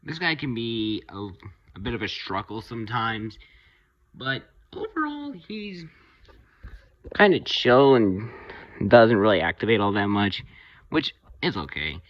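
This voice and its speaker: A man giving an unscripted, casual video review of his hobby collection, rambling a little and pausing mid-sentence. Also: lowish voice, wildly swooping pitch, lo-fi sound. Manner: halting, rambling delivery with pauses mid-sentence.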